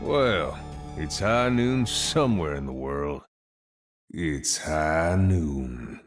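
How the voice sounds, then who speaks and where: An actor playing a cocky American cowboy gunslinger from a video game, with a laconic Western drawl and a swaggering manner. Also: male voice, rumbling low, lively intonation, drawn-out words, soft background music, full character voice. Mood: cool, ominous. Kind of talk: video game hero voice lines.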